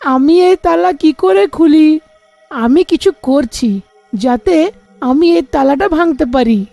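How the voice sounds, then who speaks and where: Woman doing a whiny little kid brother, cartoon, high soft mellow voice, kinda slow. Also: creaky, no echo, faint music behind, exaggerated voice. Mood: determined. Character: young boy, curious sleepy little brother. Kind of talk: cartoon story acting.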